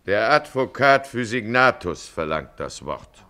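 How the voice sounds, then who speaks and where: An actor playing a stern presiding judge in a German radio play, calling for order with drawn-out commands. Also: male voice, on the low side, big pitch swings. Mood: exasperated, commanding. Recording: voice over loud courtroom crowd.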